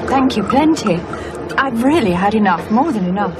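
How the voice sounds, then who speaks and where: Actress as a high-voiced, poised woman plotter. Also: lively intonation, moderate pace, loud music behind the voice, close to an everyday voice. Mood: polite, reserved. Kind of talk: radio play acting.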